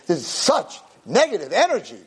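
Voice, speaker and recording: Man playing a good-natured old man, earnest, expressive, husky, lively pitch, spoken story. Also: moderate pace, full character voice. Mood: indignant. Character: kind, wealthy, cheerful old artist in wheelchair.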